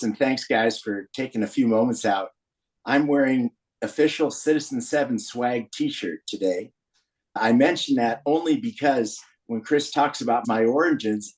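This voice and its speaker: A man's voice: medium pitch, a little mellow, low-quality recording. American man in his sixties, telling stories. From Berkeley, California, USA. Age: 60s. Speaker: podcast guest, founder of a men's community group. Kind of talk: personal storytelling in a podcast interview.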